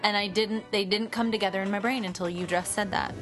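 A woman's voice: medium pitch, fast talker, soft background music. A woman co-hosting a podcast who gushes about actors, agrees often with the other host, and reacts with animation. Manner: gushing, animated, lots of agreeing fillers. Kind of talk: casual film discussion.

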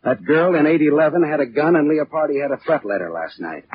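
An actor playing a hardboiled, sardonic private detective in a 1940s radio drama, clipped, slangy and wisecracking. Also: male voice, a little high, expressive, fast talker, slightly rough. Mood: pressing, sardonic. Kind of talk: 1940s radio detective acting with first-person narration. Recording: muffled, noisy late-1940s radio recording.

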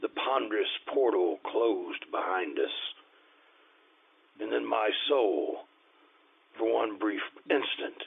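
An actor playing an anxious, loyal friend who narrates a gothic horror tale in a formal, theatrical old-time radio style. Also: male voice, lowish voice, animated, slow pace, gravelly, long pauses, slightly rough, full character voice. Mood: solemn dread. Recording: muffled, band-limited radio recording with music.

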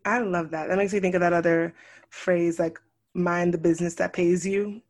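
A host chatting casually, warmly affirming the guest and asking questions. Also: medium pitch, steady tone, normal speed. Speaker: podcast host. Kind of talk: casual interview chat.

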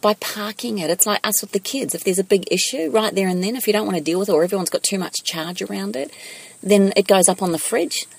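A woman's voice: medium pitch, fast, creaky, breathy edge. A woman speaking casually in a wellness discussion, chatty and full of personal anecdotes. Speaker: panel speaker on a wellness show. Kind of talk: casual discussion about self-help and wellness.

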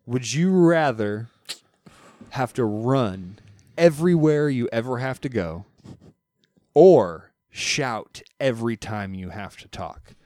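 A man's voice: medium pitch, lively intonation, slow pace, darkish tone, dry recording, clean studio sound. A man hosting a podcast in casual chat, posing hypothetical questions in a playful, laughing way. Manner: playful, laughing, spins out would-you-rather questions. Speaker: podcast host.

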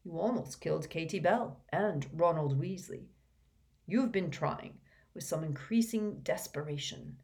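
A woman voicing a gentle, calm old male wizard headmaster who speaks softly and mildly. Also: deep voice. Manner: soft, mild, unhurried.

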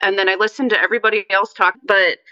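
A woman's voice: medium pitch, steady tone, quick pace, pushed and bright, no room sound, lo-fi sound. A woman, a member of a group, sharing personal things informally in an earnest, confiding way.

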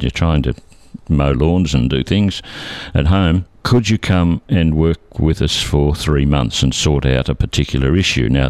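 An Australian man in his seventies reminiscing conversationally as an interview guest, with measured, hesitant phrasing and self-corrections. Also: very deep voice, a little mellow, no echo. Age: 70s. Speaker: interview guest, retired air traffic controller.

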